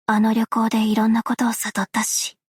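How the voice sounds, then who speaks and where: An actress playing a gentle, self-effacing young woman in a video game, soft and whispery, with a hushed, measured, wistful delivery. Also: fairly high pitch, completely flat delivery, husky, dry recording, studio quality. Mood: calm, sincere. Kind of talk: game character voice lines.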